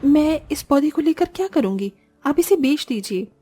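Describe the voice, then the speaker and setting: Young orphan sister, voiced by a woman: very high pitch, earnest, expressive, cartoon fable. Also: normal speed, soft background music. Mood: kind, earnest. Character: poor young orphan sisters, earnest, kind, easily exasperated. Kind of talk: Hindi cartoon moral-story voice acting.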